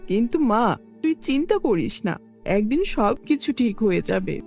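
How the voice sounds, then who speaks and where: A woman's voice: a little high, sing-song, moderate pace, gravelly, a little mellow, soft background music. A woman voicing a loving, poor village mother in a cartoon, tender and plaintive. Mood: tender consoling.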